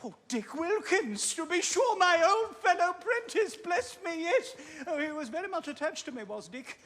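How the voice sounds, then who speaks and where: A man's voice: extremely high pitch, big pitch swings, moderate pace, fairly bright tone, big-room sound, voice pushed up, full character voice. A man playing old Scrooge in a storybook reading, wistful and given to emotional exclamations. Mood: nostalgic.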